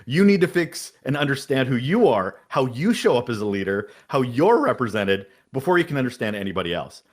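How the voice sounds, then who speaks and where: A man's voice: medium pitch, brisk. A man guesting on a podcast, talking emphatically and energetically in an interview. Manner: energetic, emphatic, talks about having a big voice. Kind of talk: interview answers on leadership.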